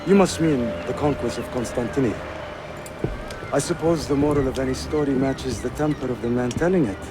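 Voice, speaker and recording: A man playing a polite, learned young Ottoman gentleman in a video game, precise and gently correcting. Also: medium pitch, fairly quick, over music, close to an everyday voice. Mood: courteous, curious. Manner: precise, courteous, scholarly.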